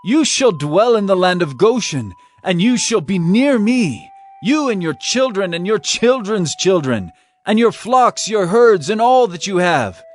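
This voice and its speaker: A man's voice: sits high, very animated, unhurried, faint music behind. Joseph, a man voicing an earnest Egyptian ruler. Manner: earnest, pleading. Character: Joseph, young adult man, emotional ruler. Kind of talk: dramatized scripture reading.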